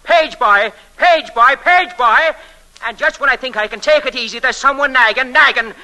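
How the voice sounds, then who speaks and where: Sharp-voiced, volatile young killer. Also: male voice, extremely high pitch, exaggerated voice, poor audio. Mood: frantic. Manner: insinuating, halting repetitions, swings from soft to frantic. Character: cocky, unstable young murderer, a former hotel page boy. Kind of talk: old-time radio thriller acting.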